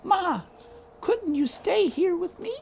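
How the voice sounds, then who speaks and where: A small, frightened little girl begs in a tearful, very high voice, as a man reading aloud puts her on. Her pleading rises and falls widely, and it plays like a storybook character. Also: animated, takes it slowly, vocal fry, slight background noise, heavily acted.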